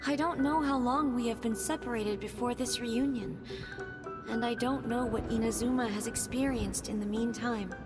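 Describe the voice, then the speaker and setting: A serene divine woman speaks her farewell in a game cutscene, performed by an actress. Her voice sits a touch high and stays soft and tender, with game music swelling beneath it. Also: fairly even intonation, moderate pace, natural voice. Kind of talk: video game voice acting.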